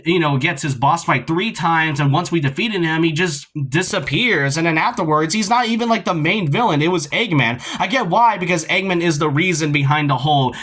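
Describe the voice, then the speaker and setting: A man's voice: on the high side, fairly quick, hardly any pauses, fairly bright tone. A man giving casual, rambling opinion commentary on a video game in a conversational, critical way.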